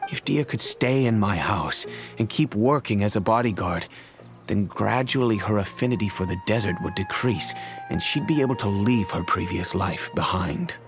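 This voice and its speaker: Game father: middle-aged man, lowish voice, earnest, measured, formal, clear music underneath. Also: lively intonation. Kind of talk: video game voice acting.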